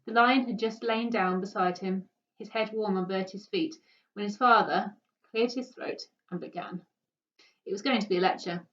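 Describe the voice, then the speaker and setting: A woman reading a children's story aloud with expressive narration. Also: medium pitch, normal speed. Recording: dull, distant microphone.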